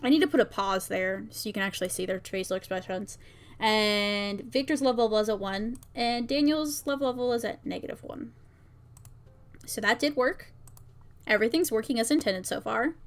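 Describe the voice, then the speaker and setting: A woman's voice: on the high side, moderate pace. A woman livestreaming casually, thinking aloud and muttering to herself as she tests her own game. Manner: muttering to herself, reading out lines as she works. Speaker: VTuber streamer and game maker. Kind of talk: casual livestream, thinking aloud while testing a visual novel.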